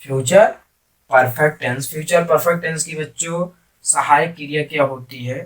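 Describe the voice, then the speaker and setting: Higher-pitched man teaching, off-mic. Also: normal speed, a bit bright. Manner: repetitive, question-and-answer teaching, addressing students. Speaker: English grammar teacher. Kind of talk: classroom-style grammar lesson in Hindi with English terms. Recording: distant microphone.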